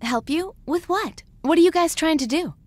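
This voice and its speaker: A brisk, sensible young woman from a game cutscene. Her voice is high and lively in close, dry sound. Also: no room sound. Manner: direct and questioning. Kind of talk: video game cutscene voice acting.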